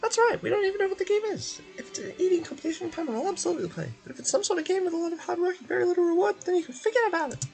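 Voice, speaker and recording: A man's voice: very high-pitched, animated, racing, creaky, hardly any pauses, a little mellow, soft background music, voice pushed up, heavily acted, low-quality recording. A man voicing a small, cheeky, childish game companion who gets indignant easily and refers to itself by name. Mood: excited, curious. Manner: childish, talks of self in third person.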